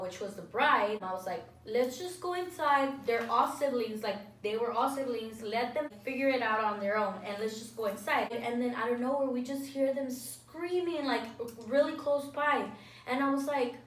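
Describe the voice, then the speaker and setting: A woman's voice: on the high side, moderate pace, recorded from a distance, slight background noise. A young woman vlogger telling a story casually, rambling and saying "like" constantly. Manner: rambling, fills speech with "like". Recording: echoey room, voice off-mic.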